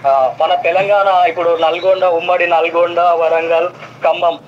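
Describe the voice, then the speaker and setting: A man's voice: a little high, flat delivery, normal speed. A man with a Telangana Telugu accent phones a live show as a caller, making his case emphatically in long sentences. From Yadadri district, Telangana, India. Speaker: phone-in caller, graduate voter. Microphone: telephone. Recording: dull, noisy telephone line.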